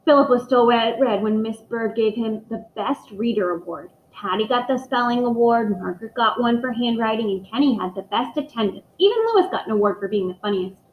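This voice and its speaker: A woman teacher reading a picture book aloud to young children, expressive and speaking to them directly. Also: a little high, a little fast, hardly any pauses, slight background noise. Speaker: elementary school teacher reading aloud to pupils. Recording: echoey room, dull muffled microphone.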